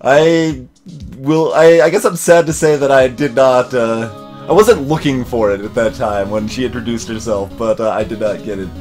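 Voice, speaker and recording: A man's voice: mid-range voice, fairly even intonation, normal speed, a little mellow. A man hosting a let's play with casual, hesitant commentary, rambling as he thinks aloud. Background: game music.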